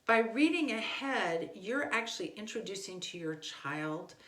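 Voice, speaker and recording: A woman's voice: mid-range voice, lively intonation, a little slow, a bit bright. A middle-aged woman gives an informal instructional talk, speaking conversationally and leaning on repeated words for emphasis. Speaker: homeschool instructor. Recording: echoey, roomy sound.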